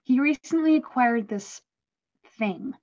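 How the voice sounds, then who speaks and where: Woman doing a pleading young fantasy cartoon girl, anxious, highish voice, lo-fi mic. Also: slow pace, a little mellow. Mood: worried. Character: worried, pleading young woman seeking help. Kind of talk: animated fantasy table read.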